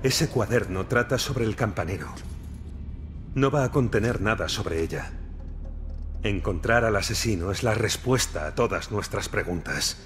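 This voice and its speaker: A male actor as a brooding ghost detective with a low-pitched voice and a Castilian accent. He is gruff yet pleading, in video game cutscene style, with prominent music underneath. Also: natural voice. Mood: urgent. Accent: Castilian Spanish.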